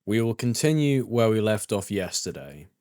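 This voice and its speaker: A man's voice: lowish voice, big pitch swings, normal speed, a little mellow. A man voicing a formal schoolteacher addressing his class in an amateur game fandub. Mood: businesslike. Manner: formal, instructing.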